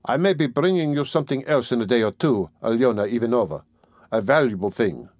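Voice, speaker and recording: A man's voice: mid-range voice, animated, fairly quick, darkish tone, no echo, studio quality, close to an everyday voice. A man voicing a poor, tense young man who talks in a terse, guarded, brooding way.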